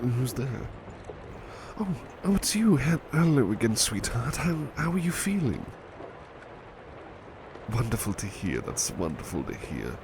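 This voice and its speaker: Gentle, affectionate butler played by a man for ASMR roleplay; low, expressively varied delivery, hesitant and stammering, over clear background noise. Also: wildly swooping pitch, unhurried, pauses often. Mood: weary, flustered. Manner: polite, hesitant, stammering, tender. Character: gentle, devoted, flustered male butler, tired and affectionate. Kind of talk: intimate ASMR roleplay, soft-spoken.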